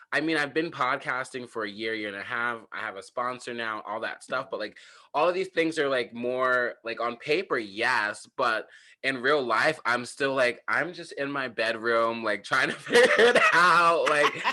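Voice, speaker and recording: A comedian hosting a casual podcast chat from his bedroom, animated and full of fillers, laughing often. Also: mid-range voice, unhurried. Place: home bedroom.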